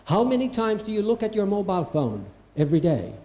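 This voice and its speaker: A man's voice: high voice, very animated, a little fast, dark tone. A man from Finland, giving an emphatic conference keynote talk and repeating phrases for stress. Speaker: conference speaker. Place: event hall with an audience. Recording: echoey hall.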